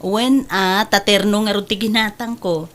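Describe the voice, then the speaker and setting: A woman's voice: medium pitch, steady tone, normal speed, a bit bright, lightly acted. A woman playing a cheerful, doting mother in an audio drama, speaking with an Ilocano accent. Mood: delighted, doting. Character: doting, cheerful mother indulging her daughter.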